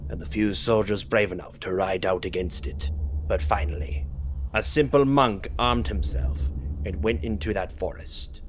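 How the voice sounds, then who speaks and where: A man playing a solemn, secretive storyteller in a comic dub, speaking in a grave, formal, measured way. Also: lowish voice, a little hiss or hum, natural voice. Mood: grave storytelling. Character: solemn, secretive elder recounting an old dragon legend.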